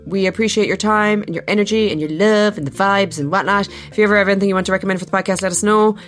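An Irish woman with a deep voice, co-hosting a podcast in a chatty, colloquial and wryly self-deprecating way. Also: fairly even intonation, very fast, faint music behind. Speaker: podcast co-host. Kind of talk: casual chat.

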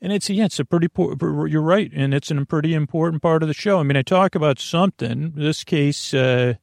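A man hosting a sleep podcast in a meandering, halting, self-deprecating monologue, often repeating himself and trailing off, with a gravelly voice. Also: fairly high pitch, no echo, clean studio sound. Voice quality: creaky, gravelly. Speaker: podcast host.

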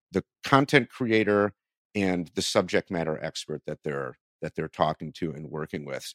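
Man, low voice, very animated intonation, conversational podcast host, thinks out loud and rewords questions. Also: no room sound, studio quality.

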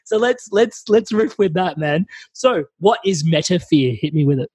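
A man hosting a podcast interview, excitable and loose, chatting with lots of fillers. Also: sits high, moderate pace, breathy edge, no room sound. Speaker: podcast host.

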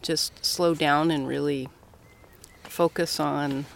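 Creaky-voiced middle-aged American woman outdoors. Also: deep voice, steady tone, takes it slowly. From Colorado, United States. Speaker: interviewee, professor. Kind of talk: casual conversation. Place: outdoors on a coastal path. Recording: light outdoor ambient noise.

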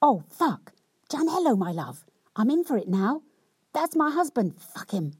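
A woman voicing a cunning, theatrical young wife who berates her husband in a loud, indignant, sweary tirade. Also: fairly high pitch, sing-song, measured pace, slightly rough, dry recording, clean studio sound, pitched above the performer's own voice, heavily acted. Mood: panicked.

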